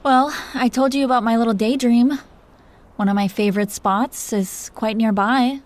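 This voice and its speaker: A woman's voice: a little high, fairly even intonation, moderate pace, lightly acted. A woman playing a protective, doting dragon queen who teases her lover tenderly in an intimate roleplay. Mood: wistful, affectionate. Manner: tender, playfully teasing, breathy whispers at times. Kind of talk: intimate roleplay audio, soft acting.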